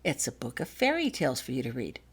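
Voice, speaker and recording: A woman voicing a kind, gentle man visiting a sick child in a storybook, speaking in a reassuring way. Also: rumbling low, huge pitch swings, fast, breathy edge, voice pushed down, heavily acted. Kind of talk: storybook character dialogue.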